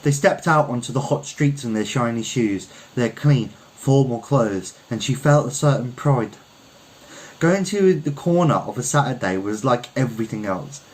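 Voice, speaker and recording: A man's voice: mid-range voice, animated, moderate pace, low-quality recording. A man reading a literary short story aloud as its narrator. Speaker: story reader.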